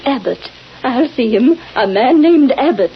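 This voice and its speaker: A woman's voice: sits high, lively intonation, unhurried. A woman playing a distraught, gullible widow at a séance in old-time radio drama style. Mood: pleading, distraught. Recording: noisy, dull old radio recording.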